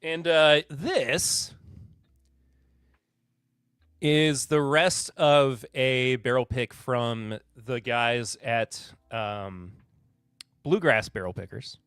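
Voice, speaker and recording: A man's voice: mid-range voice, extremely slow, pauses often, a bit bright, smooth, no room sound, clean studio sound. A man from Kentucky hosting a live podcast in casual chat, laid-back and chuckling as he reads and answers viewer comments. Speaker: podcast host.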